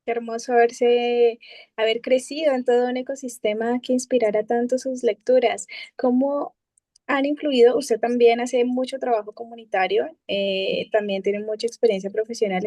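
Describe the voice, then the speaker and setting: A woman's voice: on the high side, steady tone, normal speed, dark tone, no room sound. A woman hosting a book fair interview, speaking in a formal presenting style.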